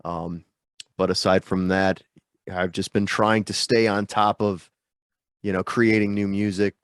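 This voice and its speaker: A man's voice: low-pitched, little pitch movement, measured pace, clear, resonant tone, dry recording, clean studio sound. A man guesting on a video-call interview, stuffy from a sinus infection, rambling and self-deprecating with lots of fillers. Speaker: guest musician. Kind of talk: casual interview chat.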